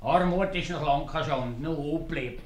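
An actor playing an outspoken, teasing tavern guest with a Swiss accent and a folksy, needling manner in a 1950s radio comedy. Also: male voice, a little high, normal speed, lightly acted. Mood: teasing, chiding. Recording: roomy, distant old radio recording.

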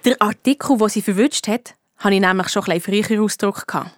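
A woman playing a clever, eager schoolgirl detective with a Swiss accent, telling her case in a lively, colloquial way in a children's radio play. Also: medium pitch, fast talker, dry recording, natural voice. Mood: excited, pleased.

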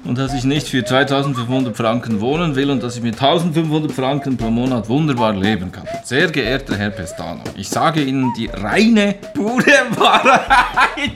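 A man's voice: medium pitch, very animated, normal speed, hardly any pauses. A man in his forties reading an indignant letter aloud in an emphatic, repetitive, declamatory way. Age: 40s. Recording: music behind the voice. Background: music.

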